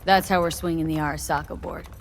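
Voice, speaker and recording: A woman's voice: deep voice, steady tone, quick pace, a little hiss or hum, close to an everyday voice. A woman playing a blunt, sceptical streetwise mercenary in a video game, talking in a clipped, casual, wry way. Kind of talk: naturalistic video game voice acting.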